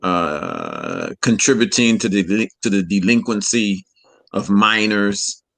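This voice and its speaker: A man in his forties addressing a young audience, measured and often repeating words as he searches for them. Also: fairly low pitch, big pitch swings, creaky, a bit bright. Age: 40s. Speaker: community elder speaker. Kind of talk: public address.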